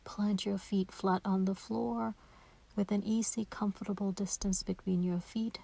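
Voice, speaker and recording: A woman leading a guided meditation in a soft, breathy voice, gentle and soothing, with unhurried pauses. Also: mid-range voice, fairly even intonation.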